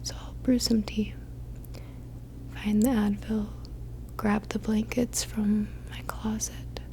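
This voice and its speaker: A woman whispering softly and breathily in a gentle, soothing ASMR roleplay as a caring friend. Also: mid-range voice, little pitch movement, slow pace.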